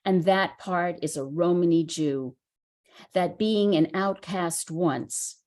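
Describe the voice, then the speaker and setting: Slow, measured woman reading poetry. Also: lowish voice, darkish tone. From United States. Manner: measured, unhurried recitation. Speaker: poet. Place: reading at a writers center.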